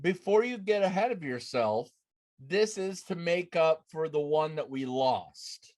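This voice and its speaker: A man hosting a casual gaming discussion, explaining things in a deliberate way. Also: fairly high pitch, lively intonation, darkish tone, dry recording. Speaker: podcast host. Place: room at home, on a video call.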